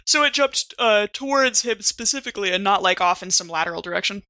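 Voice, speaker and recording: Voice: mid-range voice, expressive, fast, sharp tone. A player at a tabletop game, talking casually and throwing in playful quips. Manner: playful, quippy. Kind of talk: casual, joking table talk.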